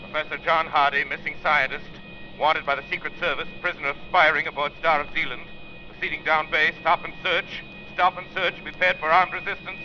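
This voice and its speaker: A man's voice: a little high, fairly even intonation, a little fast, pushed and bright. A man playing a resolute, mysterious crime-fighter, clipped and declamatory in 1930s radio drama style. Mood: urgent, declamatory. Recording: muffled, noisy 1930s radio recording.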